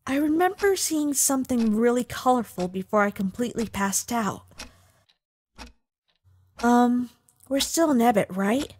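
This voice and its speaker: Lady doing a wary young skeleton newcomer, highish, slow n unsure, comic dub. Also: expressive, a little mellow, breathy edge. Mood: confused. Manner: hesitant, halting. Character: guarded, soft-spoken young female skeleton newcomer, wary.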